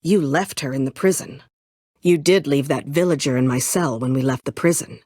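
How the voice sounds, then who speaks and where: A woman's voice: very deep voice, moderate pace, dark tone, no echo, clean studio sound. An actress playing a bitter, world-weary woman survivor set on vengeance in a story video game, brooding, curt and dryly sardonic. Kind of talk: dramatic video game voice acting.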